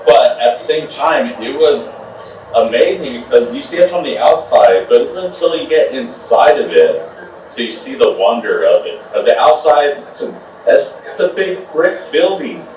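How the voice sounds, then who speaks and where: Commission chair, easygoing and hesitant public remarks, mic far off in a reverberant hall. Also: male voice, medium pitch, expressive, moderate pace, darkish tone, a little hiss or hum. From Albuquerque, New Mexico. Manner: casual, self-deprecating, hesitant. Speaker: historic preservation commission chair. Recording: echoey hall, distant mic.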